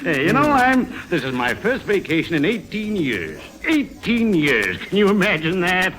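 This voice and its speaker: Brash blustering tourist, swooping pitch. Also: male voice, very animated, measured pace, soft background music, exaggerated voice, rough recording. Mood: boozy jovial. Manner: slangy, blustering, jovial, loud-mouthed. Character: brash, boastful American businessman tourist, uncultured and impatient. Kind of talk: 1950s radio drama acting. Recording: old 1950s radio recording.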